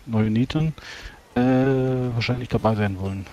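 A man playing a tabletop roleplaying game, casually explaining his plans and haltingly rephrasing as he goes. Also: medium pitch, mellow, dull audio, faint music behind.

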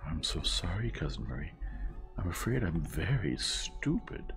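A man's voice: low voice, big pitch swings, a little slow, gravelly, a bit bright, soft background music, heavily acted. A man voicing a meek, submissive young woman who is always apologetic, in an audiobook. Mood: meek, flustered. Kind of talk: audiobook character acting.

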